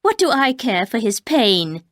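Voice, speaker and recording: A woman voicing a bitter, menacing older housekeeper who speaks slowly and coldly, often dropping to a whisper. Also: big pitch swings, no room sound, clean studio sound. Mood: cold menace. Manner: slow, low, cold, often whispered.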